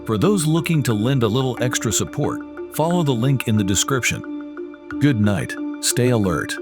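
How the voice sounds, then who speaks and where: A male announcer delivers a scripted sign-off with animated, varied intonation. His voice is of middling pitch, and music plays clearly behind it. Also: moderate pace.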